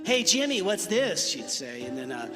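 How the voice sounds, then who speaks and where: A man playing a harried, chatty time traveler in a radio comedy, excitable and often correcting himself. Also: sits high, normal speed. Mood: chatty, sly. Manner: hesitant, self-correcting, excitable. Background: music.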